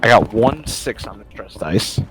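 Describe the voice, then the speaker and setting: A man's voice: fairly low pitch, very animated, a little slow, over music, rough recording. A man chatting casually as a player in a tabletop roleplaying game, with a wry, grumbling manner.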